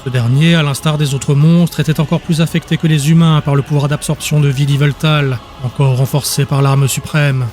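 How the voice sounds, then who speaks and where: A man's voice: fairly high pitch, flat delivery, moderate pace, few pauses, a little mellow. A man reading dramatic scripted narration as an audiobook narrator. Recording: music behind the voice.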